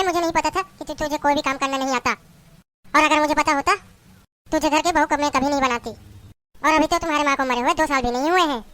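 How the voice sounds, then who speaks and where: A woman's voice: high voice, bright, forceful tone. A woman playing a harsh middle-aged mother-in-law who berates and taunts her daughter-in-law in a family drama.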